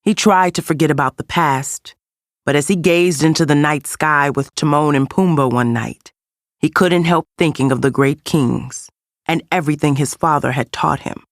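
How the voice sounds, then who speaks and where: Low-voiced woman narrating a children's storybook. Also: normal speed, no echo, clean studio sound. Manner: expressive storytelling with character voices. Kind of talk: scripted children's read-along narration.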